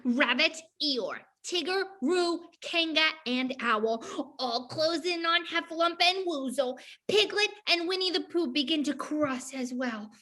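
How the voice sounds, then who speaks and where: A woman's voice: sits high, a little slow, few pauses, bright, forceful tone. A woman reading stage directions as scripted narration for a play.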